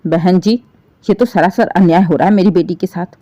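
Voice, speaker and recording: A woman's voice: fairly low pitch, moderate pace, dark tone, no echo, muffled recording, lightly acted. A woman storyteller voicing a worried middle-aged mother who protests and questions plaintively on behalf of her daughter. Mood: pleading.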